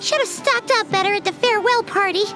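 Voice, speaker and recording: A woman's voice: extremely high pitch, fast. A woman voicing a small, bubbly, food-loving girlish companion who talks about herself in the third person, in a game cutscene. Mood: chirpy. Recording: game audio with music behind.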